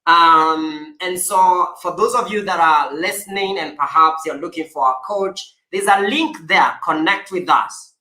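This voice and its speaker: A man speaks as a life coach, earnest and motivational, pushing his listeners toward action. His voice is bright and forceful. The sound carries a noticeable room echo. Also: sits high. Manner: earnest, motivational, with emphatic exhortations. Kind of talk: coaching conversation.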